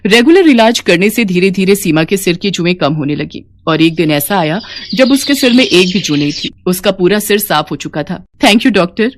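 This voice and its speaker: A woman's voice: lowish voice, animated, moderate pace, background noise. A woman narrating a scripted moral tale as a storyteller.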